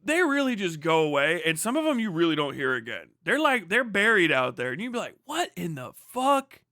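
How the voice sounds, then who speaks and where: High-voiced man ranting casually on a livestream. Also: sing-song, a little fast, sharp tone, dry recording, studio quality. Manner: sweary, joking, exaggerated rants. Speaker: livestream host. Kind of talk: casual rambling livestream chat.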